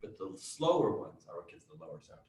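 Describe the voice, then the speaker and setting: A man, a music teacher, explaining science to children in a chatty, excitable way with many fillers. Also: sits low, fast talker, slight background noise. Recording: echoey room, distant mic.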